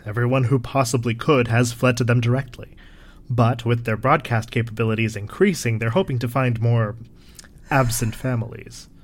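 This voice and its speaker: A man acting a grave, gentle, bookish resistance member in an audio drama, with measured, formal phrasing. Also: medium pitch, fairly even intonation, close to an everyday voice. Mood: sober.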